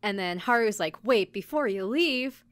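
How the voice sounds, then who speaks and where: A woman hosts a podcast in a casual, chatty style. She tells stories in an animated way, slipping into mock dialogue and giggling as she goes. Her pitch is average and the sound is close and dry. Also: moderate pace, smooth, no room sound. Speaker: podcast host and episode recapper. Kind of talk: casual chat, recapping an anime episode.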